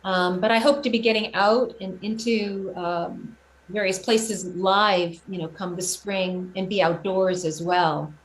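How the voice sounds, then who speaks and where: Woman, interview guest and teaching artist. Earnest, halting, conversational; mid-range pitch, noisy recording. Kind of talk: casual interview talk, explaining own work. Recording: clear steady background noise.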